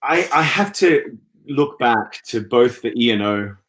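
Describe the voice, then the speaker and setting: A man in his thirties from Western Australia, a guest on a video call, talking in an easygoing, hesitant way with many pauses and restarts. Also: medium pitch, expressive, fairly bright tone. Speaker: guest, opera singer. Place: indoor room at home. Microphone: laptop webcam on video call.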